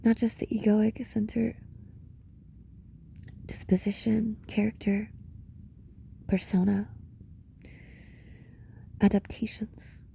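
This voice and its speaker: A woman leading a guided meditation in a reflective monologue, speaking in short, paused phrases with a breathy voice that often slips into a whisper. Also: medium pitch, little pitch movement, warm tone, slight background noise. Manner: slow, pausing between short phrases.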